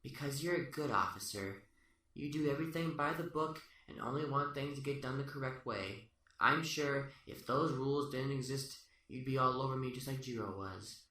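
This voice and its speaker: A man's voice: mid-range voice, normal speed, slight background noise, close to an everyday voice. A man acting a smug, taunting serial killer who philosophizes without remorse in an amateur radio play. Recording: roomy, distant-mic sound under loud music.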